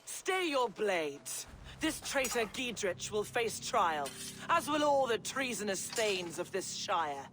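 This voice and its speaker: A woman's voice: mid-range voice, sing-song, slow. An actress playing a haughty, vengeful Saxon noblewoman in a video game, scornful, commanding and foul-mouthed. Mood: stern.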